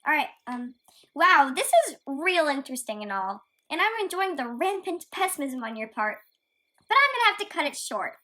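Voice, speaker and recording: A woman's voice: high-pitched, big pitch swings, normal speed, pushed and bright, slight background noise, exaggerated voice. A woman playing a sassy, defiant young kitten heroine in a radio play, with a sarcastic, irreverent manner. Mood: breezy sarcasm.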